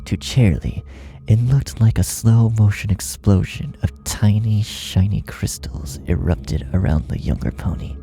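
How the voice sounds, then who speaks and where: A man narrating a horror story from a script in a dramatic, gravelly voice that often sinks toward a breathy hush. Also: lowish voice, expressive, a little slow, faint music behind. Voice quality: gravelly, often dropping to breathy near-whisper.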